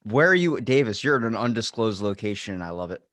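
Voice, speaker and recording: A man's voice: on the low side, expressive, fast talker, a bit bright, no echo, clean studio sound. A man hosting a casual podcast chat, loose and profane, telling stories with quoted back-and-forth. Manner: loose, swearing, tells stories with quoted dialogue. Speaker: podcast host.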